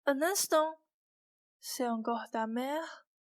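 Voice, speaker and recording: A woman playing a gentle, frank young fairy-tale heroine in a student radio play. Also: high voice, takes it slowly. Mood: gentle, curious.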